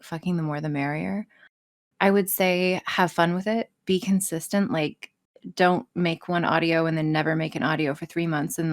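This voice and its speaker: Woman on a podcast, lowish voice, super blunt, swears all the time, casual chat. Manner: blunt, emphatic, swears freely. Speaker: podcast guest, audio roleplay artist. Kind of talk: casual, profane interview chat.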